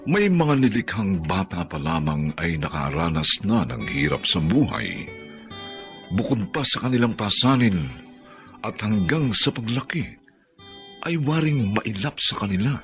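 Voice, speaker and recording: A Filipino man announces a radio drama in a solemn, theatrical way. His voice is very deep with sweeping intonation, over faint music. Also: very animated, a little slow, a bit bright. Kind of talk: dramatic scripted narration.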